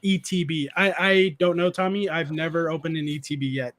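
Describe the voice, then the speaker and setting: A man's voice: a little high, steady tone, brisk, a bit bright. A man in his thirties hosting a casual live stream, rambling with many restarts and reading chat messages aloud. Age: 30s. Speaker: streamer host.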